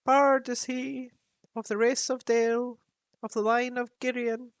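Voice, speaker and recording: A woman voicing a huge, very old male raven chief who speaks with a croaking, solemn, measured voice. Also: a little high, little pitch movement, dry recording, pitched above the performer's own voice. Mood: solemn warning.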